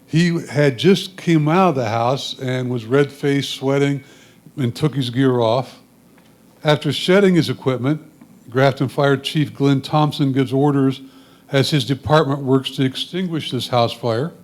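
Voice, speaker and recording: A man's voice: medium pitch, unhurried. An American man gives matter-of-fact, semi-scripted commentary on his photographs, explaining them as he goes. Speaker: club photographer presenting own photos.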